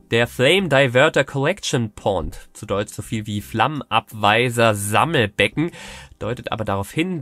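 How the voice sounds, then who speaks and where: A man in his thirties with a cold, presenting space news in a clear, explanatory scripted style from a studio. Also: mid-range voice, a bit bright, dry recording. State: has a cold. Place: studio with rocket set backdrop.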